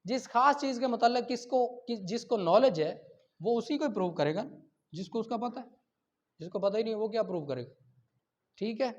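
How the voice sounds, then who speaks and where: A man's voice: sits high, expressive, normal speed. A Pakistani man giving a classroom law lecture, explaining didactically and often checking that students follow. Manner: didactic, checks understanding often, switches between Urdu and English. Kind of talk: classroom lecture, reading statutes aloud and explaining them. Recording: dull, lo-fi lecture recording.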